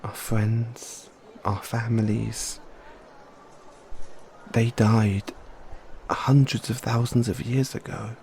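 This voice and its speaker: A man playing a tender, excitable mad scientist boyfriend in an audio roleplay, speaking in a halting, geeky manner. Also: mid-range voice, a little hiss or hum. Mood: gentle, sorrowful. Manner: halting, geeky, swinging between gentle and eager. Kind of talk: scripted audio roleplay to listener.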